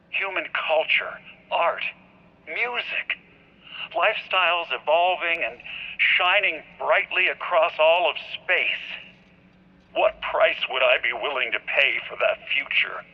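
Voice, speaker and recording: An actor playing a remorseful visionary scientist in a video game, speaking in an earnest, measured, grandiose way. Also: male voice, mid-range voice, muffled recording, lightly acted. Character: remorseful male scientist, visionary and self-justifying. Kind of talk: dramatic video game cutscene acting.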